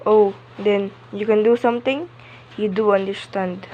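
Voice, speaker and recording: A woman's voice: mid-range voice, steady tone, a little slow, a little mellow, slight background noise, close to an everyday voice. Woman acting a fretful, imperious housewife, muffled sound. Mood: anxious, insistent. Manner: indignant, insistent, fussy. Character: anxious, imperious invalid housewife, fretful and demanding. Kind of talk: radio play acting.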